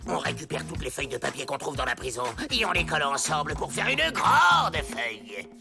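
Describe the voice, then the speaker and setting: Gravelly, hot-tempered little gang boss. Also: male voice, fairly high pitch, big pitch swings, brisk, full character voice. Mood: impatient. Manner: barking orders, impatient. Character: short-tempered bossy little outlaw gang leader. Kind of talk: French animated comedy dubbing. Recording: music behind.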